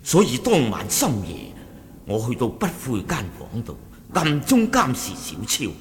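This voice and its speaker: Wary, animated martial-arts lieutenant, big reverb. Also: male voice, medium pitch, a little slow. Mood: suspicious. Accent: Cantonese. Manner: deferential, storytelling with emphatic exclamations. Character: wary, suspicious martial-arts sect lieutenant reporting to his chief. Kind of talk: Cantonese radio drama acting. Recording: long reverberant hall echo.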